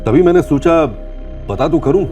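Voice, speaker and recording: Man acting a stern, mellow-voiced senior official. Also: on the high side, animated, normal speed, natural voice. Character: stern male senior government official, exasperated and thorough. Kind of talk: television crime drama dialogue. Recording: background music under dialogue.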